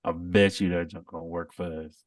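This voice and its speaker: A man co-hosting a casual podcast, monotone and laid-back. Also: low-pitched, brisk, no room sound, studio quality, recorded from a distance. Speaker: podcast co-host.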